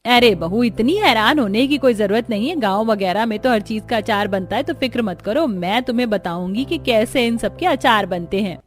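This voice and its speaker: A woman's voice: a little high, moderate pace, hardly any pauses, natural voice. A woman voicing a practical, reassuring older village mother-in-law who gives soothing advice in a Hindi cartoon story.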